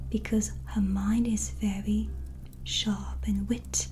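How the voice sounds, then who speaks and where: Casting: non-native English-speaking woman, breathy soft-spoken ASMR, gentle witch tarot reader, mellow and unhurried. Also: mid-range voice, little pitch movement. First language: not English. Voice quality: breathy, whispered. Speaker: ASMR roleplayer giving a tarot reading. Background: soft relaxing music.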